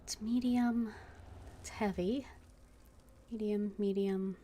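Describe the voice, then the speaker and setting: A woman's voice: medium pitch, steady tone, slow, dark tone, slight background noise. A woman game streamer giving casual commentary, murmuring and thinking aloud to herself. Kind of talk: casual muttered gameplay commentary.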